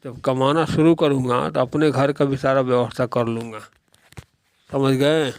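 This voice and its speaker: A man's voice: mid-range voice, fairly even intonation, unhurried, no room sound, close to an everyday voice. A man playing a meek young husband in a moral-story cartoon, submissively making excuses and justifying himself. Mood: meek, defensive.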